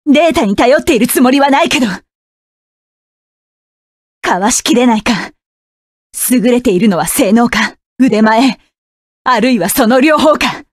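A woman's voice: on the high side, animated, unhurried, long pauses, no room sound, studio quality. A woman voicing a proud, fierce young woman mech pilot who barks curt, commanding and scornful lines. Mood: tense, wary. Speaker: voice actress. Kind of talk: game voice clip collection.

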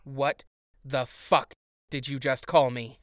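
A woman's voice: rumbling low, steady tone, slow, no room sound. An actress playing an exasperated fairy queen with a sarcastic, cutting manner in a modern audio drama. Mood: scornful. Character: exasperated, sardonic fairy queen, sharp-tongued bickering wife.

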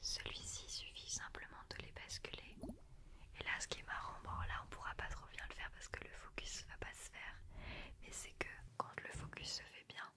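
A woman in her twenties speaking in a breathy whisper at a close desk microphone, chatting and explaining as an ASMR guest. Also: moderate pace. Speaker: guest, ASMR creator demonstrating her tools. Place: small indoor studio with sofa. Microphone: close desktop condenser microphone.

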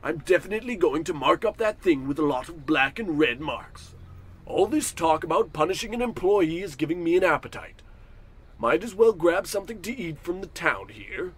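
A man's voice: a little high, expressive, moderate pace, natural voice. A man playing a pompous, cantankerous, scornful rich businessman in a comedic old-time radio play. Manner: pompous, grumbling to himself.

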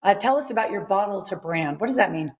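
A woman's voice: mid-range voice, animated, fast talker. A woman co-hosting a daytime TV show, asking questions in an upbeat, enthusiastic way. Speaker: morning show co-host. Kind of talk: daytime TV interview. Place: studio set.

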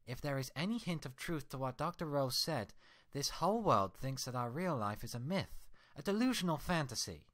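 A man's voice: mid-range voice, big pitch swings, normal speed, fairly bright tone, pitched above the performer's own voice. A man voicing a furious young female unicorn scholar who scolds indignantly. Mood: exasperated.

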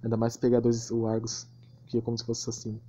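A man's voice: mid-range voice, completely flat delivery, fast talker, dark tone, slight background noise. A Brazilian man giving casual, slangy commentary on gameplay. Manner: slangy, informal. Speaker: gaming channel host. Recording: muffled lo-fi voice recording.

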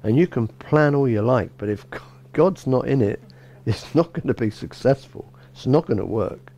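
A middle-aged man, a bishop, gives a relaxed sermon in a small church, talking conversationally with frequent restarts and "you know". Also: medium pitch, normal speed. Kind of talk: informal sermon.

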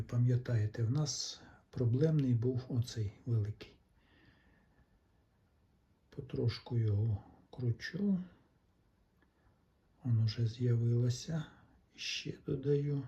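A man giving a casual, step-by-step repair demonstration, thinking aloud in an unhurried, explanatory way. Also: mid-range voice, warm tone, muffled recording. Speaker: electronics repair hobbyist narrating.

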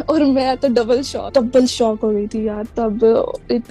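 High-voiced woman, mellow, over music. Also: steady tone, takes it slowly. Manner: mixes in English phrases, aggrieved. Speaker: caller telling her story. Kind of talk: casual personal account on a call. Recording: recorded call with music behind.

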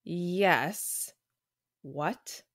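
A woman's voice: lowish voice, very slow, no room sound, studio quality. An actress voicing a cocky young female archer sidekick in a motion comic, sardonic and teasing. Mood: skeptical.

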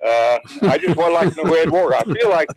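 A man in his eighties calling in to a radio show, talking casually and haltingly, often repeating words. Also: on the high side, animated, darkish tone, breathy edge, dry recording. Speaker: caller. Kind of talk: casual phone-in talk. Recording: phone call on radio.

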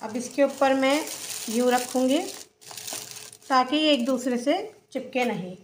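Woman cooking host, Pakistani Urdu accent, slightly high voice, methodical step-by-step narration, faint kitchen noise. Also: a little slow. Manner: calm, methodical, narrates each step as she does it.

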